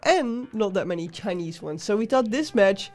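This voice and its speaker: A woman's voice: mid-range voice, lively intonation, moderate pace, darkish tone. Chatty Dutch woman casting a game. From Netherlands. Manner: chatty, laughs easily. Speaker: game caster. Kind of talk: casual game commentary.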